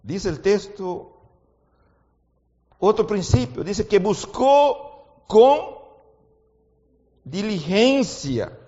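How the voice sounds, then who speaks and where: A man preaching a sermon in a language that is not his first one, as his native Portuguese slips in. He shifts between quiet, intimate talk and loud, fervent exhortation, and keeps asking his listeners questions. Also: high voice, animated, extremely slow, pauses often, big-room sound, highs cut off. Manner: asks the audience frequent questions.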